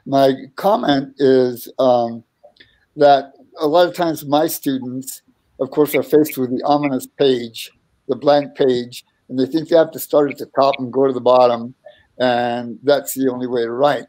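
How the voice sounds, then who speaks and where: Older guy on a home video call, gentle and slow, mellow voice. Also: mid-range voice, fairly even intonation, rough recording. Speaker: teacher association president, interviewer. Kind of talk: casual conversation on a video call. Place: room at home. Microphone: laptop webcam mic.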